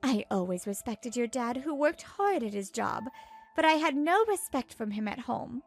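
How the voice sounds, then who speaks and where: A woman's voice: on the high side, expressive, normal speed, faint music behind. Woman acting a frank, cautionary mother. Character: older mother, frank, cautionary, recalling her marriage. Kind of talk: scripted manga dub dialogue.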